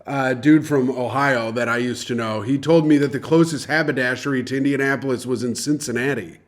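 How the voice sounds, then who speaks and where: A man co-hosting a casual comedy podcast, loose and teasing, quick to laugh. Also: mid-range voice. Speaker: podcast co-host.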